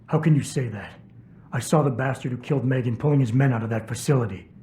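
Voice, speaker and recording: An actor playing a brooding, skeptical ex-cop in a video game, terse and deadpan, with a gravelly voice. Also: male voice, mid-range voice, fast, natural voice. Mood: suspicious. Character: brooding, skeptical male ex-cop turned security officer. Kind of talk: dramatic video game dialogue. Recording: clear background ambient noise.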